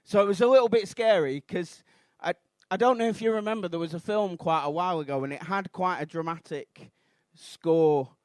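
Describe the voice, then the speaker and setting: A Mancunian man in his thirties doing a rambling, self-deprecating stand-up routine on a comedy club stage, bursting into shouted outrage. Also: high-pitched, moderate pace, few pauses, no room sound. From Manchester, England.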